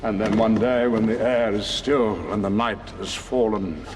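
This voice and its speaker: A man's voice: fairly low pitch, a little slow, slight background noise, natural voice. Man playing a fervent rebel leader, echoing hall. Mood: ominous, fervent. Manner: ominous oratory. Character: grave, fervent rebel leader rallying his brotherhood. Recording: long hall reverb, music behind.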